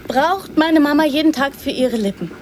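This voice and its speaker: A woman acting in a radio drama as a naive, trusting, affectionate girl who speaks in halting, simple childlike phrases. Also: sits high, lively intonation, noisy background. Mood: eager, proud.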